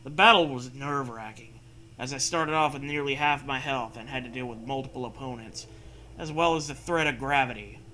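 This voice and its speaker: A man's voice: mid-range voice, brisk, bright, forceful tone, slight background noise, low-quality recording. A man reading a story aloud and breaking in with sarcastic, sweary casual commentary. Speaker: host reading and mocking fan fiction.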